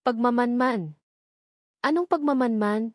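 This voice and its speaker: A woman's voice: fairly high pitch, unhurried, no room sound, studio quality, pitched above the performer's own voice, lightly acted. A woman voicing a polite, conflicted young female doctor in a story. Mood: puzzled, uneasy. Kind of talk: storybook character dialogue.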